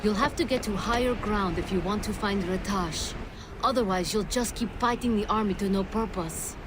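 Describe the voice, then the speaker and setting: A woman's voice: medium pitch, normal speed, noisy background, lightly acted. A woman playing a mystical spirit queen in a video game, composed and formal with a dry wit. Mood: grave. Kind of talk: video game cutscene acting. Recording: game soundtrack mix with loud music. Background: loud music.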